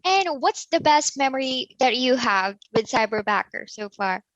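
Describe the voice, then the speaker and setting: A woman interviewer asks her guest questions in an upbeat, scripted way. Her voice is fairly high and the sound is dry and close. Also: animated, normal speed, fairly bright tone, dry recording, highs cut off.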